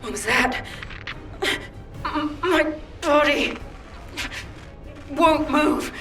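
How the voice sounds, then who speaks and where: A slow-paced, defiant sci-fi soldier. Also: female voice, high voice, fairly bright tone, roomy sound, heavily acted. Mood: alarmed, straining. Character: determined female sci-fi soldier, defiant and betrayed. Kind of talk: dramatic video game voice acting. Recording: distant voice under clear music.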